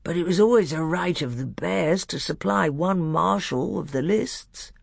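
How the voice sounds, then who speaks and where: A narrator doing a slow, thick-voiced sleepy old bear. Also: mid-range voice, gravelly, no room sound, clean studio sound, voice pushed up. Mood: sleepy, earnest. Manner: slow, drawn-out, pleading politeness.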